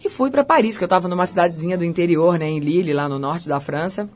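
A middle-aged woman with a Carioca accent, a chef and restaurant owner talking about her life as a guest on a radio interview, as a lively storyteller. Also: fast talker, faint music behind. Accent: Carioca Brazilian Portuguese. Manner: lively storyteller, pitch swinging high and low.

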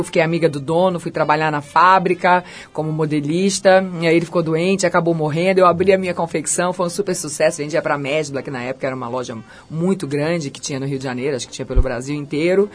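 A middle-aged woman with a Carioca accent, a chef and restaurant owner talking about her life as a guest on a radio interview, as a lively storyteller. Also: fast, few pauses. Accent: Carioca Brazilian Portuguese. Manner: lively storyteller, pitch swinging high and low.

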